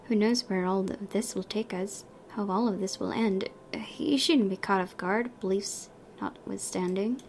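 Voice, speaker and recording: A woman's voice: mid-range voice, measured pace, few pauses, a little mellow, a little hiss or hum. A young woman hosting a gaming video, reading story narration aloud and breaking into casual commentary.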